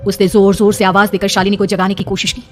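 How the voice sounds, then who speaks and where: A woman's voice: mid-range voice, little pitch movement, quick pace, faint music behind. A woman narrating a scripted horror cartoon story in a dramatic storytelling style.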